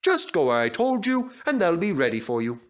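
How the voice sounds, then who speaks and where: A cheeky little tank engine, played by a man, all mock innocence and mischief. The storybook voice sits high and jumps about in pitch. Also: wildly swooping pitch, a little fast. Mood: sly, chirpy.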